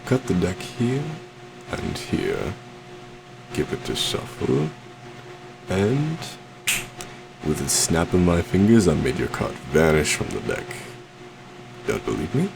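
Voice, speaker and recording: A man's voice: low-pitched, big pitch swings, slow pace, vocal fry, pauses often, noisy background, full character voice. A man playing a suave, possessive stage magician in an ASMR roleplay, theatrical and courtly, coaxing sweetly with a menacing undertone. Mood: playful showmanship. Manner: theatrical, courtly, soft coaxing with terms of endearment.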